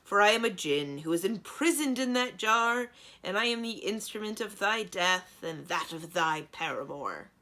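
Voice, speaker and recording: A woman's voice: mid-range voice, lively intonation, normal speed, pushed and bright, pitched above the performer's own voice. A woman voicing a huge, stern male djinn who declaims pompously in grand, archaic speech for a storybook. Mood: menacing, grand.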